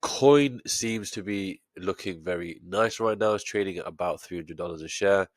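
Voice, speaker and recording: A man's voice: low voice, moderate pace, no echo. A man with a stuffy nose from a cold, explaining an options trade step by step in a screen-share tutorial, with frequent fillers. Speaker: trading educator.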